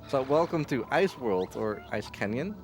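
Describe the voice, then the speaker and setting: A man giving casual live commentary as he plays a game, halting and distracted. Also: mid-range voice, animated. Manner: halting, distracted while playing. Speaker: speedrunner. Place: live gaming marathon at a hotel event. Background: faint game music.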